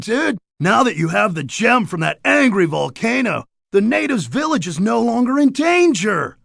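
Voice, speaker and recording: A man voicing a laid-back but excitable, cowardly surfer-dude creature in a cartoon game, full of easygoing slang. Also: sits high, creaky, no echo, clean studio sound, heavily acted. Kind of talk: scripted cartoon game dialogue.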